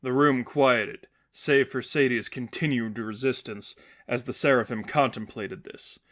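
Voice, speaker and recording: A man's voice: medium pitch, fairly even intonation, fairly bright tone. Earnest man narrating a dramatic story. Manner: measured, earnest, suspenseful. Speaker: narrator reading fan fiction.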